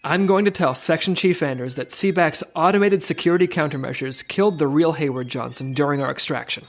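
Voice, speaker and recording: A man's voice: on the high side, a little fast, soft background music, lightly acted. A man playing a dry, official special agent in a comedy audio drama, speaking in a matter-of-fact way. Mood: resolute.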